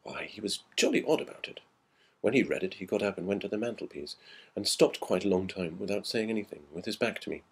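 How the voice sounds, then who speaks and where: A man acts out a schoolboy in a storybook ghost tale, puzzled and earnest. The voice is husky and swings widely in pitch, chatty and full of slang. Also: expressive, fast talker. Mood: confiding. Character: schoolboy recounting an odd episode, puzzled and earnest. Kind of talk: audiobook character dialogue in a ghost story.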